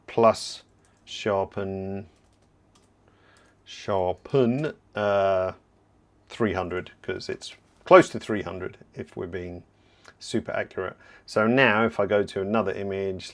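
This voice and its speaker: Slightly hoarse man teaching software live. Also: fairly low pitch. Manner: relaxed, explanatory, thinking aloud while working. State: slightly hoarse, clearing throat. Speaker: software trainer hosting a livestream. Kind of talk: live software tutorial with viewer Q&A.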